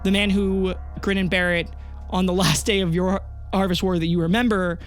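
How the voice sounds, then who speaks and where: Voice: medium pitch, steady tone, moderate pace. Game master narrating over music. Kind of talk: narrating an audio drama tabletop fantasy game. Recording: music under the voice. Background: background music.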